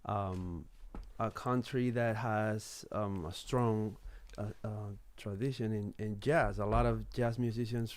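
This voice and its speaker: A man hosting a casual interview, halting and searching for words with many repeats. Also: fairly low pitch, steady tone. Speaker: interview host.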